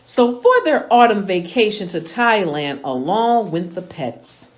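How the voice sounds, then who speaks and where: Woman, children's storytime reader, expressive, very varied intonation, slow deliberate delivery. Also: mid-range voice, slight background noise, rough recording. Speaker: librarian and storytime host. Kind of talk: expressive picture-book read-aloud for children.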